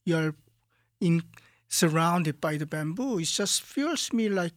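A man from Korea with a Korean accent, a guest artist in a radio studio interview, speaking calmly and haltingly as he searches for words. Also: fairly high pitch, dry recording. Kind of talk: calm interview talk.

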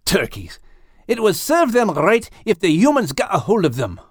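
A man voicing a gruff, grumbling male animal with a Scottish accent and blunt, folksy humor. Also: high-pitched, wildly swooping pitch, normal speed, dry recording, studio quality, exaggerated voice.

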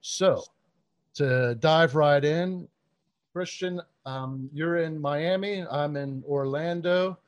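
A man's voice: a little high, takes it slowly, muffled recording. A man hosting a podcast, reading his guest's introduction and then asking questions with frequent fillers. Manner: reads a guest bio, then chats with fillers. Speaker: podcast host.

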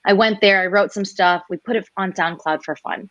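A woman in her twenties, a singer guest telling her story in a relaxed interview, animated and acting out other people's lines as she goes. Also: mid-range voice, a little fast, dry recording, dull audio. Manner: animated, quoting others in lively voices.

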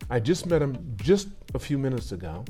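Middle-aged man as a rapid-fire podcast host, rambling and chatty, faint music behind. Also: medium pitch, big pitch swings, warm tone. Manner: chatty and rambling, with self-corrections and quick follow-up questions. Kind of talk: casual interview chat.

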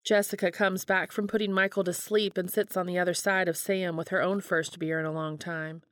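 A woman's voice: on the low side, level tone, a little fast, a bit bright, no room sound, studio quality. A woman narrating fan fiction in an audiobook style, reading the story aloud.

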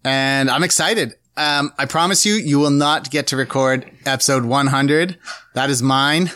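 A man's voice: mid-range voice, a little slow, bright, forceful tone, no room sound. A man co-hosting a casual podcast, upbeat as he runs through announcements. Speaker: podcast co-host.

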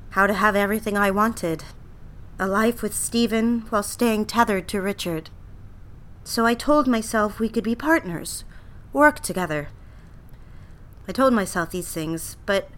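A young career woman, played by an actress, in a medium register, narrating an audio drama as a private diary. She is yearning and quietly self-deceiving. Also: medium pitch, lightly acted. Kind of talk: scripted first-person audio drama narration.

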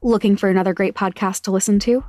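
A woman's voice: medium pitch, steady tone, fast talker, mellow, no echo, clean studio sound. A woman reading scripted podcast credits and promos as an announcer.

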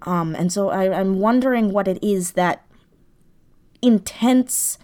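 A woman's voice: mid-range voice, slow pace, mellow. A woman speaking as an interview guest, explaining her creative thinking in a casual, thoughtful way, searching for words as she goes. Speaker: guest, audio drama writer.

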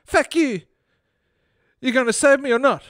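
A man's voice: extremely high pitch, normal speed, dry recording, clean studio sound, voice pushed up. A man voicing a tiny, foul-mouthed, indignant fat man in a comic story, with a bad put-on Irish accent. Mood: indignant, mocking. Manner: sweary, pleading and shouting. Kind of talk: comic short-story reading.

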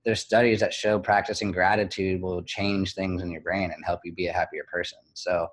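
A man speaking as an interview guest, candid and reflective, pausing often with fillers and restarts. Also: sits low, little pitch movement. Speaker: guest, blogger.

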